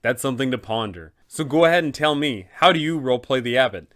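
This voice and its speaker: A man's voice: mid-range voice, expressive, fast, a bit bright. A man hosting a game master's guide, explaining in an enthusiastic, conversational way. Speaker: dungeon master and channel host.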